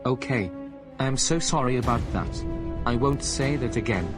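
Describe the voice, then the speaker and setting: Man doing polite young hero type, earnest and apologetic, mid-pitched, music bed underneath. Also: animated, normal speed, natural voice. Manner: earnest, apologetic, later indignant. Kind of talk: amateur voice drama.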